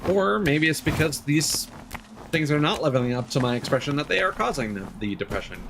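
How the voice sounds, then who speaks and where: A man's voice: on the high side, lively intonation, fairly quick, gravelly, noisy background. A man giving casual, rambling commentary as he streams a video game live.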